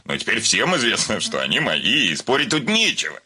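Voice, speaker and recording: An actor playing a nervous, stubborn landowner suitor in a radio comedy, stammering and fussily polite. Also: male voice, on the low side, wildly swooping pitch, fairly quick, pushed and bright, husky, heavily acted. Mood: agitated, insistent. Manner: stammering, hesitant, ceremoniously formal. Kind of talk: theatrical radio comedy acting.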